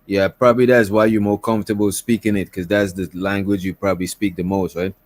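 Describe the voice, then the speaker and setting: A man hosting a call-in stream, talking in a relaxed, slangy way and asking his guests questions. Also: fairly low pitch, brisk, no room sound. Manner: laid-back, slangy, asks questions. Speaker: stream host. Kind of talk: casual chat.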